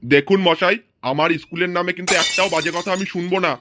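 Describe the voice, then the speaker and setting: High male pitch, brusque cartoon teacher, scolding, foul-mouthed, man acting, faint noise. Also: fairly even intonation, fast, natural voice. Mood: irritated. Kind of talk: comedy cartoon dialogue.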